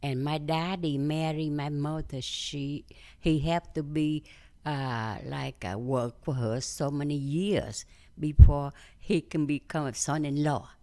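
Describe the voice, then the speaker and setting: An older woman from Vietnam with a Vietnamese accent, telling her story in an interview in simple, halting English with many fillers. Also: low-pitched, steady tone, mellow. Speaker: interview guest. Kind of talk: conversational interview.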